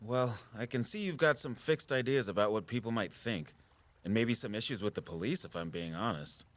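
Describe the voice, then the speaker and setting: An actor playing a concerned, patient outdoorsman in a naturalistic audio drama, measured and gently frank. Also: lowish voice, no room sound, natural voice. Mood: worried, frank. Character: Jordan, concerned, patient outdoorsman who watches the woods.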